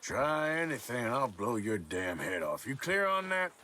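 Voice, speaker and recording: An actor playing a rough, matter-of-fact frontier gunman in a video game drama. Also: mid-range voice, very animated, a little slow, bright, forceful tone, a little hiss or hum. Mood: threatening.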